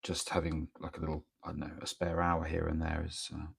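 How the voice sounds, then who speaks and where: Croaky, gravelly man with a cold. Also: very deep voice, monotone, a little fast. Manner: rambling, hesitant, with many ums and restarts. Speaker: miniature painter streaming live. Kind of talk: casual live-stream chat while working. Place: home workspace, early morning. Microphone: microphone near the speaker.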